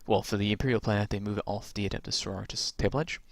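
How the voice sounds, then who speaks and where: A man with a low, gravelly voice talks his way through a tabletop game's rules, rambling and restarting as he goes. His voice has turned croaky after a long stretch of talking. The recording is dry and close. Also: fairly even intonation, rapid-fire, no echo. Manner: rambling, many ums and restarts. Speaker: tabletop game reviewer. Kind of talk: casual rules walkthrough.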